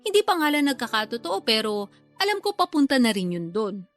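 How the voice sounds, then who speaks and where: High-voiced, pushy, nagging mother. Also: sing-song, fairly quick, faint music behind. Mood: boastful, teasing. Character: pushy, boastful, bantering working-class mother. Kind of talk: Tagalog radio drama acting.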